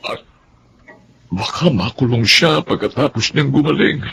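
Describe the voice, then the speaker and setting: An actor playing a remorseful older Filipino employer in a Tagalog radio drama, speaking in a halting, solemn way. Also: male voice, medium pitch, creaky, fairly bright tone, slight background noise. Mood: remorseful, pleading. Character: remorseful older well-off male employer, humbled and lonely.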